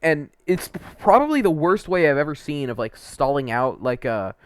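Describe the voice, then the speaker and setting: A man's voice: fairly high pitch, very animated, normal speed, clean tone, no room sound. A young adult man giving casual, opinionated video game commentary in an informal, slangy, rambling way.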